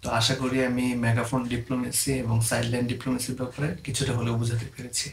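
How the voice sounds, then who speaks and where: A man's voice: mid-range voice, little pitch movement, rapid-fire, creaky. A man with a Bangladeshi accent gives an informal explainer lesson, mixing English terms into his explanations. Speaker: presenter explaining diplomatic terms. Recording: echoey room, faint background noise.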